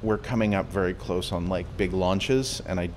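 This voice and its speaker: Deep-voiced man, relaxed and easygoing. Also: normal speed, a little hiss or hum. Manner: relaxed, casual, with some restarts. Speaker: interview guest, company executive. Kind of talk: conference interview. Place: crypto conference venue.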